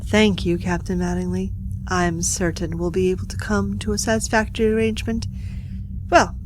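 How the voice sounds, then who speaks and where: Formal, measured alien diplomat played by a woman, noisy ambience. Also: fairly low pitch, flat delivery, natural voice. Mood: formal, controlled. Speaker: audio drama actor. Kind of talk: scripted sci-fi audio drama.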